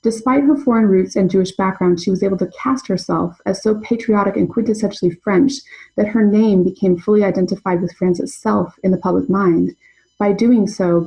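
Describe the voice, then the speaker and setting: A young adult woman presenting an academic paper in a measured, scholarly way. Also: medium pitch, vocal fry, warm tone, poor audio. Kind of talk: reading a prepared conference paper.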